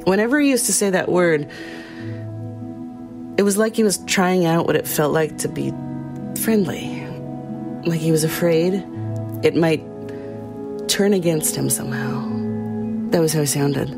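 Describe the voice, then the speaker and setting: A woman playing a haunted, compassionate small-town woman, telling her horror story in a somber, measured audio drama narration with hesitant pauses. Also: deep voice. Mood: sad, resigned. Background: music under the voice.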